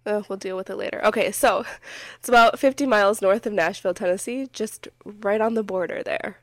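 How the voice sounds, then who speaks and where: A woman's voice: mid-range voice, a little fast, dry recording. A woman hosting a casual podcast chat while getting over a cold, scratchy in the throat and rambling with frequent giggles. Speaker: podcast host.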